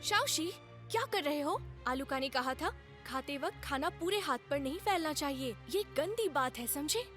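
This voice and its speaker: A woman's voice: sits high, expressive, normal speed, fairly bright tone, faint music behind. A woman voicing a bossy, scolding youngster in a dubbed children's cartoon, nagging and emphatic.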